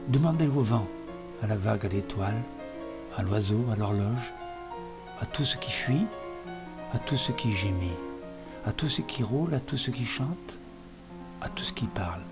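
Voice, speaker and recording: Man reciting poetry over music. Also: lowish voice. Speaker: poetry reader. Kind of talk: dramatic, literary poetry recitation. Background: music behind the voice.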